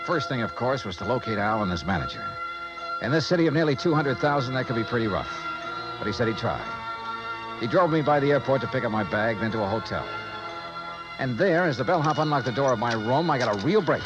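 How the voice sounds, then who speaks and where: Man as tough radio detective, American accent, mid-range, animated intonation, blunt, brisk, wry, 1950s noir. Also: medium pitch, lo-fi sound, loud music behind the voice, lightly acted. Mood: wry narration. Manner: brisk, forceful, plain-spoken. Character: tough, blunt male insurance investigator, urgent and wry. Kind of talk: 1950s radio noir acting, hard-boiled.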